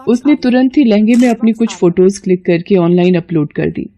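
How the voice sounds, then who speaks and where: A woman's voice: medium pitch, fairly quick, mellow. A woman narrator reading a scripted moral story in a dramatic storytelling style.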